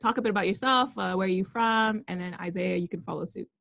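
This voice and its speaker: A woman's voice: mid-range voice, quick pace, vocal fry, a bit bright, no room sound. Young woman, podcast host, upbeat, laughs easily, dry close sound. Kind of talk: casual interview chat.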